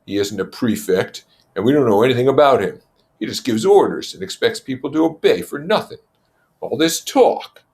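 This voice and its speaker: A man's voice: mid-range voice, animated, moderate pace, darkish tone, voice pushed up, close to an everyday voice. A man voicing an aggressive, contemptuous schoolboy hunt leader in a storybook reading, commanding and accusing. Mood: resentful. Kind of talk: storybook character voice.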